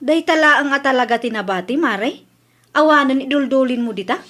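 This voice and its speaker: A woman's voice: high-pitched, fairly quick, fairly bright tone. A woman playing a worried, thrifty village mother in a comedy drama, with an Ilocano accent and an exclamatory, plaintive way of talking. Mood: friendly haggling.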